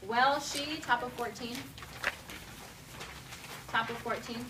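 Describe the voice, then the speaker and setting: A woman's voice: on the high side, slow, far from the mic. Directive woman teacher in a noisy classroom. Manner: directive, clipped commands alternating with expressive reading. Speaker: teacher leading a class read-aloud. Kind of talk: classroom instruction with read-aloud. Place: school classroom with pupils.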